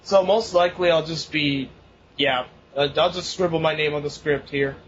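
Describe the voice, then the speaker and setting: A young man with a fairly high voice co-hosts a casual podcast, rambling and laughing. The recording is dull and lo-fi, with a faint hiss under it. He swears freely as he talks. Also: moderate pace. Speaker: podcast co-host. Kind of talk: casual chat.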